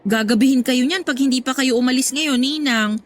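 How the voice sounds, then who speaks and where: A woman's voice: sits high, brisk, natural voice. Actress as young woman, polite and restrained, slightly raised voice, radio drama. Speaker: goddaughter.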